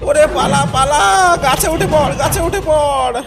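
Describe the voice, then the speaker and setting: A man's voice: very high voice, normal speed, a crowd in the background. A man voicing a frightened, weary middle-aged fisherman father in a cartoon, fretful and pleading. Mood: panicked. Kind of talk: Bengali cartoon voice acting. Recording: clear background music under voice.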